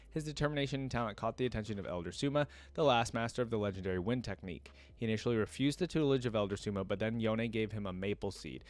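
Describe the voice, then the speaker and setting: Male reaction creator, lowish pitch, informal, thinks out loud between passages he reads. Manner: chatty, thinking aloud. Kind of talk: casual commentary, reading wiki text aloud.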